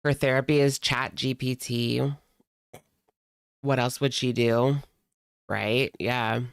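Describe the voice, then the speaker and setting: A woman with a gravelly, fry-heavy voice talks through casual reaction commentary. She is sassy and slangy, and the sound is dry and close, with hardly any room on it. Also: very deep voice, fairly even intonation, takes it slowly, dry recording. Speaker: reaction channel host.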